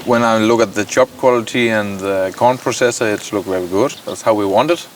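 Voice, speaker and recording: A man's voice: lowish voice, brisk, slight background noise. Hesitant man. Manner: hesitant, searching for words, many fillers and restarts. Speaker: farmer and contractor. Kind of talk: casual interview answers. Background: clear background music.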